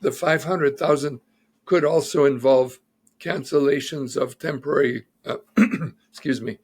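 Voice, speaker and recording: A man's voice: a little high, measured pace, slightly rough. A man who is an immigration lawyer, methodically explaining legal news in an interview and citing figures and reports.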